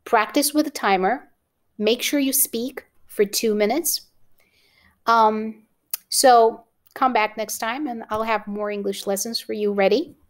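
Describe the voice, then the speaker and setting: A woman's voice: on the high side, measured pace. A woman with a Romanian accent teaching an exam-prep lesson to camera, encouraging and often checking in with "okay?". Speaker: English teacher.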